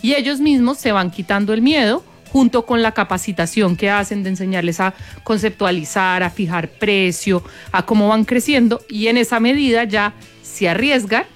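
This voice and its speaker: A woman with a Bogotá Colombian accent, a guest on a talk program, explaining her work in measured, step-by-step answers. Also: medium pitch, faint music behind. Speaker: guest, corporate sustainability manager.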